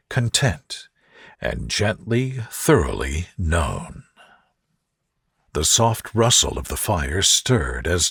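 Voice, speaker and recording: A man narrating a bedtime story in a soft, gentle, soothing and unhurried manner. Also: fairly low pitch, breathy edge, no room sound, clean studio sound. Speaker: narrator, reader.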